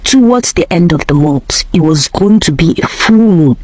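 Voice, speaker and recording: A woman's voice: lowish voice, expressive, moderate pace, a little mellow, no room sound. A woman narrating a scripted folktale as a storyteller.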